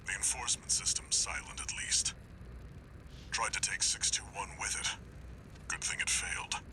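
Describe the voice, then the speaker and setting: An actor playing a grim, terse older military handler in a video game, with a gravelly, breathy voice. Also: male voice, on the low side, steady tone, normal speed, in a whisper, natural voice, rough recording. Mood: grim, subdued.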